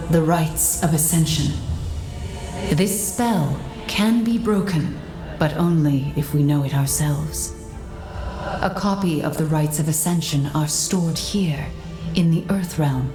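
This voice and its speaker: An actress playing a composed, commanding mystical guide in a video game cutscene, speaking in a measured, solemn, instructive way. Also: female voice, low voice. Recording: echoey, loud game music behind.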